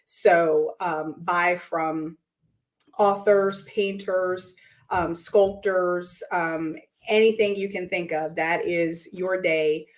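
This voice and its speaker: A hoarse woman with a lowish, raspy voice hosts her weekly show in casual, upbeat talk. She fills her speech with ums and restarts. She talks from a room indoors by a window. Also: fairly even intonation, takes it slowly. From United States. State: hoarse from lost voice.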